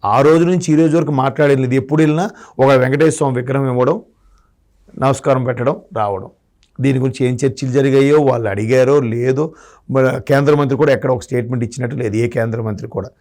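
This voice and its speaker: A man's voice: medium pitch, fairly even intonation, fast, a little mellow. A man giving political commentary in a discussion, arguing his points through rhetorical questions. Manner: argumentative, asks rhetorical questions.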